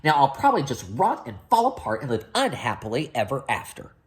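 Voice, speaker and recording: A woman's voice: extremely low pitch, huge pitch swings, a little fast, exaggerated voice. A woman voicing a hapless young storybook prince, earnest, polite and flustered, often pleading. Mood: annoyed, despairing. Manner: polite, flustered, repeats himself.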